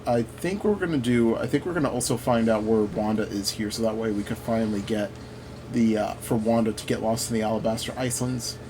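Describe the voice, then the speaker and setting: A man's voice: on the low side, a little fast, noisy background. A man giving casual gameplay commentary, rambling and thinking aloud as he plays. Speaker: gaming video host.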